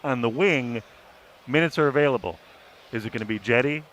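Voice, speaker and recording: Mid-pitched man breaking down a team. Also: a little fast, a bit bright, dull audio. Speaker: team beat writer and panelist. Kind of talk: pre-game sports panel discussion. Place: sports arena. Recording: faint venue noise.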